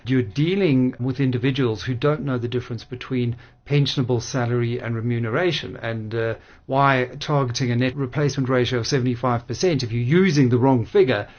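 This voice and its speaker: A man conducts a radio discussion. He is articulate and measured, with formal phrasing and a middling pitch. The audio is a little dull and lo-fi. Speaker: radio interviewer.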